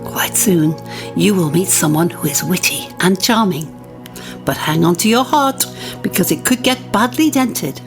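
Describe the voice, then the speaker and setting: An actress playing a local astrologer, giving a measured, scripted horoscope reading. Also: female voice, on the low side, animated, over music. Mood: measured, knowing.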